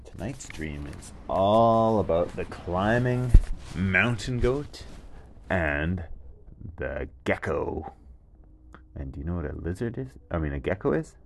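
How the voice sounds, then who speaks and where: A man telling a children's bedtime story in a gentle storytelling style, repeating words for emphasis. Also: deep voice, expressive, takes it slowly, creaky, a little hiss or hum.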